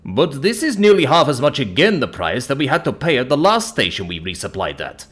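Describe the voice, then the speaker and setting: A man's voice: medium pitch, sing-song, fairly quick, breathy edge, voice pushed up. Man playing a blustering, belligerent spaceman. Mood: outraged. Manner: loud, confrontational. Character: belligerent, blustering human spaceman, indignant bully.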